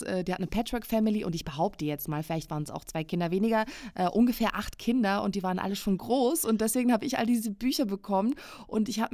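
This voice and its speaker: A woman's voice: mid-range voice, darkish tone, no room sound, clean studio sound. A woman in her thirties, a native English speaker from South Africa, hosting a casual interview in a chatty, enthusiastic way. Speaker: podcast host and editor.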